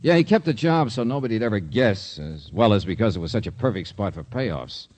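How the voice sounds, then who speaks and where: An actor playing a cocky, wisecracking private detective in a 1950s radio drama, slangy and sardonic. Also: male voice, fairly low pitch, expressive, fairly quick, a little mellow. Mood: breezy, explaining.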